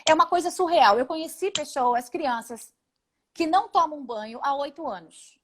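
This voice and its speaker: A high-pitched Brazilian woman in her forties talks over a video call. She is emphatic and indignant and often runs through quick lists. She speaks as a congresswoman guest in a live interview. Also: lively intonation, slight background noise. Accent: Brazilian Portuguese. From Paraná, Brazil.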